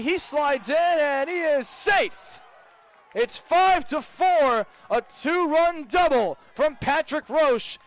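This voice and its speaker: A young man doing live radio baseball play-by-play, with descriptive calls that build on the action. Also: very high voice. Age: young adult. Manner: crisp, descriptive calls that swell into excitement on big plays. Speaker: student baseball play-by-play broadcaster. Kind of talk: live radio sports commentary. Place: broadcast position at an outdoor ballpark.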